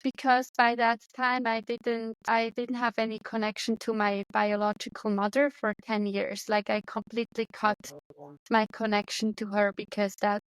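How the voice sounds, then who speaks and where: A woman's voice: on the high side, flat delivery, normal speed, clear, resonant tone, dry recording, rough recording. A woman with a Swiss accent in her thirties, talking as an interview guest in an earnest, searching way with restarts. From Switzerland. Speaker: guest, medium and coach. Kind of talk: casual interview conversation.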